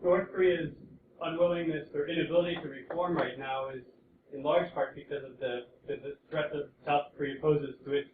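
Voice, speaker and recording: A man's voice: fairly high pitch, fairly even intonation, fairly quick, slight background noise. A man in a lecture audience asking a question, stumbling and repeating words. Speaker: audience member. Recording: echoey hall, far mic, phone-like.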